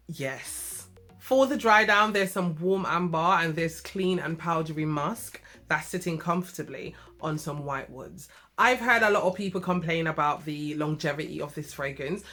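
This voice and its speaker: A woman's voice: fairly low pitch, a bit bright. A young British woman reviewing fragrances in a chatty, affectionate way, sounding slightly nasal from a cough. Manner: chatty and affectionate, full of asides to viewers. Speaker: beauty vlogger.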